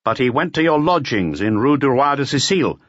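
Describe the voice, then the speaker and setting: A man's voice: medium pitch, big pitch swings, fairly quick, fairly bright tone, no echo, highs cut off, pitched above the performer's own voice. A man voicing a stern, persistent police detective in an audiobook, questioning bluntly. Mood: insistent, blunt. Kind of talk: audiobook character voice.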